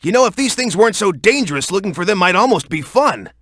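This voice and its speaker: Brash, high-pitched young action hero. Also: male voice, sing-song, fast, a bit bright, no echo, studio quality, heavily acted. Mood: focused, explaining. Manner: brash, urgent, punchy delivery. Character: tough, hot-headed young male action hero. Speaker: video game voice actor. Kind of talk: video game character voice clips.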